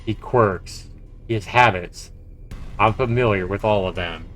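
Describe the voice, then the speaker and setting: Low-voiced man reading game narration. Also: a little slow, a bit bright. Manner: dramatic reading, profane asides. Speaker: game livestreamer. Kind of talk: reading game narration aloud with casual commentary. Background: faint game music.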